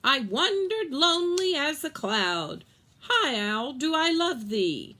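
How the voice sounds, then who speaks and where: A woman's voice: sits high, sing-song, slow, sharp tone, pitched above the performer's own voice, full character voice. Woman as lilting poetry-reciting pet. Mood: dreamy reciting. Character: pet that spouts back romantic poetry.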